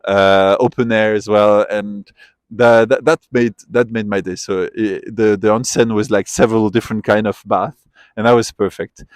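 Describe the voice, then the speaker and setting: A man's voice: lowish voice, steady tone, normal speed, no echo. A young man from Switzerland answering a street interviewer, thoughtful and full of restarts and repeated words. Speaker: tourist being interviewed. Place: outdoors at a memorial site.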